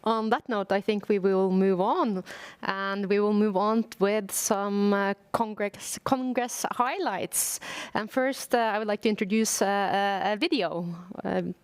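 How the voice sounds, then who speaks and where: A woman hosting a panel, briskly affirming the guests and moving the show on. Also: mid-range voice, clean tone, dry recording. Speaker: host.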